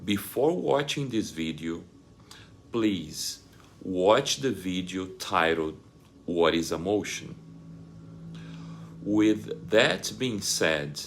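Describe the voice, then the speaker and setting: Slow, deliberate middle-aged man explaining. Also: low-pitched. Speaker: host of an advice channel. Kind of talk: scripted explainer to camera.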